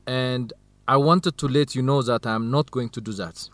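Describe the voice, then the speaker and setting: A man's voice: medium pitch, normal speed, fairly bright tone, dry recording, close to an everyday voice. An actor playing an earnest young male university student in love, speaking carefully in a radio drama for English learners. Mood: calm, resolved.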